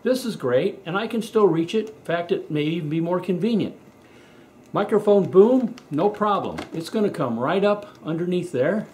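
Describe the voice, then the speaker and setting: American man, lively pitch, echoey garage. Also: normal speed. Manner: conversational, step-by-step explaining. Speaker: presenter of a how-to video. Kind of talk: casual explanatory demonstration. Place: indoors in a home garage workshop. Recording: echoey garage with background noise.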